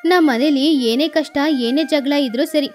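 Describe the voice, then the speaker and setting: Woman doing proud middle aged mother in law, shrewd n firm, high pitched, story style. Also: a little slow, soft background music. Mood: knowing. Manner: firm, emphatic, defensive of family.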